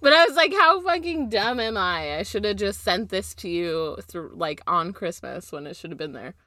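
A woman's voice: medium pitch, expressive, moderate pace, bright, forceful tone, no echo, clean studio sound. A woman co-hosting a podcast in casual conversation, with an informal, self-deprecating way of talking. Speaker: podcast co-host.

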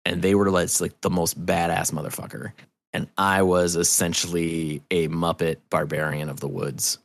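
A man being interviewed, telling stories in an animated, rambling, sweary and self-deprecating way. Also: deep voice, moderate pace. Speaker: interview guest, festival promoter. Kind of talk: casual storytelling interview.

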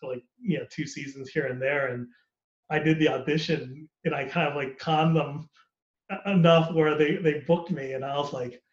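A man's voice: a little high, fairly even intonation, normal speed. Candid man in a roomy, dull recording. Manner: candid, rambling, laughs often. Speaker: actor guest. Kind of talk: casual podcast conversation.

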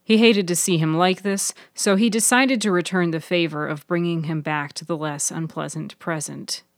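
A low-voiced woman narrates scripted fiction for a podcast. Her voice is recorded close and dry, with no room around it. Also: steady tone, normal speed, dry recording.

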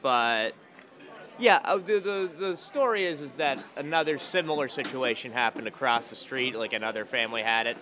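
A man's voice: mid-range voice, moderate pace, pushed and bright. A man in his late twenties from Massachusetts, a stand-up comedian, chatting casually over breakfast with dry, joking asides. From Massachusetts, USA. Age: 20s. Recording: noisy crowded diner. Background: crowd chatter.

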